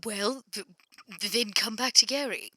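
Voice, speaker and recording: A woman playing an exasperated, sarcastic vampire businesswoman in an improvised roleplay, with a halting, dry delivery. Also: a little high, sharp tone, dry recording. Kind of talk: improvised tabletop roleplay, phone conversation.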